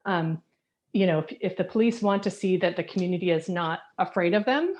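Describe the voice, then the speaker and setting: A woman resident speaking earnestly and haltingly, with many fillers, in a public comment at a community forum over a video call. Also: on the low side, animated, a little mellow. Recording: dull lo-fi call audio.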